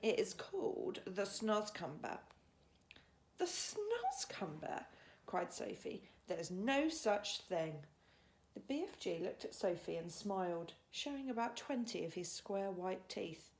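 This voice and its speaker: A woman narrating a children's bedtime story as an expressive storyteller. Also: medium pitch, moderate pace, gravelly, hardly any pauses.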